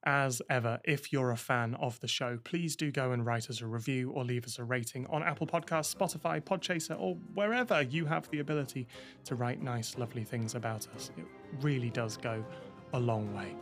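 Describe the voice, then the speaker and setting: A man's voice: medium pitch, a little fast. A man presenting a scripted podcast sign-off and credits. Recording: loud guitar music behind the voice. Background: guitar music.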